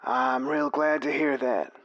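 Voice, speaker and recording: An actor playing a genial older rodeo radio announcer with a folksy, theatrical, persuasive way of talking. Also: male voice, medium pitch, steady tone, a little slow, fairly bright tone, highs cut off, natural voice. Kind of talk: radio play acting.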